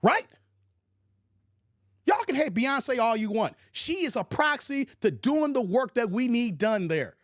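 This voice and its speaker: A man with an American accent hosts his own commentary stream in a high-pitched voice. He makes his case emphatically, repeating points and throwing out rhetorical questions. The sound is dry and close, with no room echo. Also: sing-song, measured pace. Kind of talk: opinionated livestream commentary on a film.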